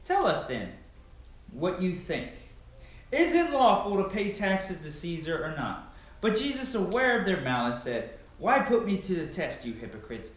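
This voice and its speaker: A man reads scripture aloud in a very echoey, reverberant room. His voice sits fairly high and swings widely in pitch, with a solemn, declamatory delivery. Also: wildly swooping pitch, normal speed. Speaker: amateur Bible reader. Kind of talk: dramatic scripture reading.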